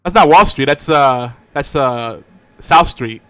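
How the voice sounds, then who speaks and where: A middle-aged man hosts a casual chat show. His voice sits at a medium pitch and he talks in a loose, bantering way. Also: slow pace, sharp tone, smooth. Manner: joking, bantering. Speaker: podcast host.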